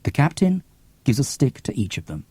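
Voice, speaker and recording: A man reading scripted dramatic narration in a deliberate, theatrical manner. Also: medium pitch, very animated, dark tone, breathy edge. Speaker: author reading own audiobook. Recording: cassette audiobook with long reverb.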